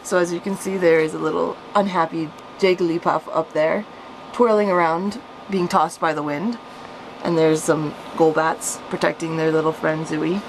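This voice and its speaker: A woman's voice: deep voice, moderate pace, a little hiss or hum, rough recording. A woman reading out her own childhood story with casual, amused, self-mocking commentary. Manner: self-mocking, chuckly, animated when reading. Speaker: storyteller, vlogger.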